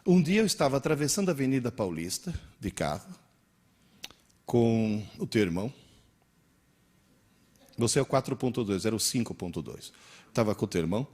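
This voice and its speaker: A man's voice: mid-range voice, normal speed, long pauses. A man in his sixties, born in Italy with Italian as his first language, gives an interactive lecture to teachers. He tells stories and quizzes the audience. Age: 60s. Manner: animated, storytelling, quizzes the audience.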